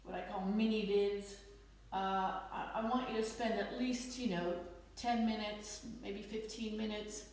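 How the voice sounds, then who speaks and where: A woman fitness instructor with a slight Dutch accent, leading a guided stretching class with gentle, encouraging cues. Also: medium pitch, steady tone, a little slow, recorded from a distance. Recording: echoey room, voice off-mic.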